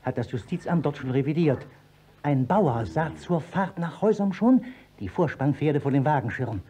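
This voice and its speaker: A man's voice: a little high, expressive, normal speed, dark tone, close to an everyday voice. A man playing a sly, needling court clerk with a probing manner in a 1950s German radio play. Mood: urgent warning. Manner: probing, pointed. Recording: dull, noisy old 1950s radio recording.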